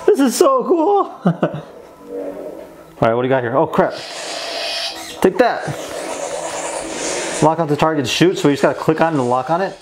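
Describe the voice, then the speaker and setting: A man's voice: on the high side, very animated, normal speed, long pauses, background noise. A young man giving casual, slangy and enthusiastic gameplay commentary as a toy reviewer. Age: young adult. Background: game music.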